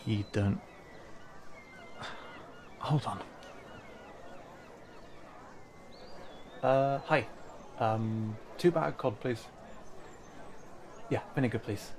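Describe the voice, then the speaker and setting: A man acts out a young male cat-person from a fantasy audio drama, cautious and casual as he guides a newcomer. The voice has a gravelly creak at a middling male pitch. He takes his time, with hesitant asides, over faint background noise. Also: slow, pauses often. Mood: casual, awkward. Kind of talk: fantasy audio roleplay drama, speaking to the listener.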